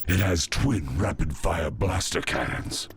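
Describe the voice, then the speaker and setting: A man playing a grave, cautious alien adviser in a video game, gravelly, speaking in a measured, formal, precise way. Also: sits low, slight background noise. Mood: focused. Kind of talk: cinematic video game acting.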